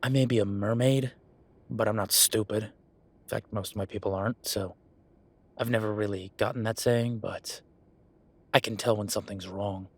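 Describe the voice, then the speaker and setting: A man playing a gruff, sarcastic merman who runs a tattoo shop, speaking in a halting, conversational audio roleplay style. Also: on the low side, steady tone. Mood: irritated, sardonic. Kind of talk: naturalistic one-sided audio roleplay.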